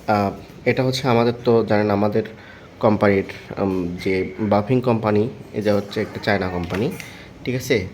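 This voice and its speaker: Bangladeshi salesman with noisy background. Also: lowish voice. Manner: explanatory, repetitive, often checking listeners follow. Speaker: seller presenting a product. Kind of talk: informal product demonstration and sales pitch.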